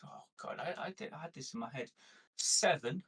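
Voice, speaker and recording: A man with a husky edge to his voice plans aloud as a player in a tabletop game. His talk is casual and conversational, picked up from slightly off the microphone. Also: on the high side, animated, moderate pace, a bit bright, far from the mic. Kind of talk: casual group game chat, planning aloud.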